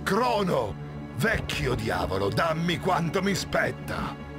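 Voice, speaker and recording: Gloating game warlord, theatrical, very slow, swooping pitch, loud music behind. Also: male voice, sing-song. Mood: greedy, demanding. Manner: theatrical, drawn-out.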